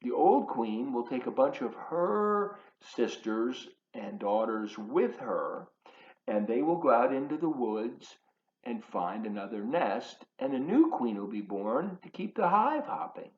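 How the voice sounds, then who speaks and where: A man reading a children's book aloud in an expressive, emphatic, teacherly way, adding chatty asides for his young listeners. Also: mid-range voice, unhurried. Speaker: volunteer children's storybook reader. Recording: roomy echo, slightly dull sound.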